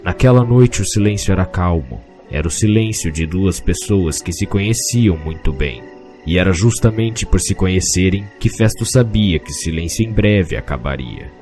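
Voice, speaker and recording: A man with a Brazilian accent narrating a scripted fantasy story in a measured, literary way. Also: sits low, lively intonation, a little mellow. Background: music.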